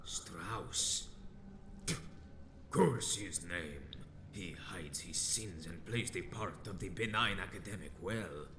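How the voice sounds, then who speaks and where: An actor playing an ancient, proud and vengeful vampire elder in a video game, speaking gravely in formal, archaic, theatrical phrases. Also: male voice, slow, reverberant, noisy background. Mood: bitter, vengeful. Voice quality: very deep, grave.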